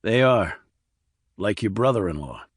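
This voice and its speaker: A skeptical military investigator, played by a male narrator from a crime audiobook. He speaks in a low voice, terse and sardonic. The recording is dry and close. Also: sing-song, measured pace, breathy edge, no echo, exaggerated voice. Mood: terse, probing. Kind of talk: audiobook character dialogue.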